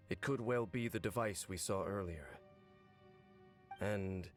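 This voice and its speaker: A man voicing a brooding, knowing wanderer in a video game, speaking in a measured, grave and cool way. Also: lowish voice, close to an everyday voice. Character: brooding, knowing male wanderer from a fallen nation. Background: game music.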